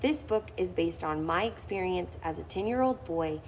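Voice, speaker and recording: A woman's voice: lowish voice, expressive, normal speed, slight background noise, rough recording. A woman reading a children's picture book aloud, lifting her voice expressively on key phrases. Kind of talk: read-aloud storytelling.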